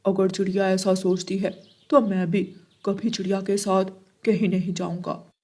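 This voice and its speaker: Cartoon male pigeon , female performer: lowered, subdued voice, gullible, wounded and earnest. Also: little pitch movement, normal speed, darkish tone, lightly acted. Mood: hurt, resolute.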